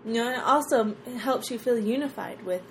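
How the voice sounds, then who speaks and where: A woman chatting casually and reading from a church talk, quick to giggle. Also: on the high side, slight background noise, low-quality recording. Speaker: podcast co-host. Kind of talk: casual chat and reading aloud.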